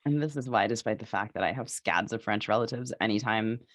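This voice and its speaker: A woman's voice: rumbling low, fairly quick. An American woman co-hosting a podcast with casual, opinionated political talk and a wry, playfully sarcastic manner. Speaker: podcast host.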